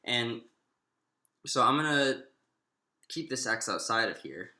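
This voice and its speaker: A man's voice: mid-range voice, animated, sharp tone. Man teaching maths in an echoey room. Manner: thinking aloud, halting, often restating himself. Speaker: maths lecturer. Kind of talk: informal step-by-step maths lesson.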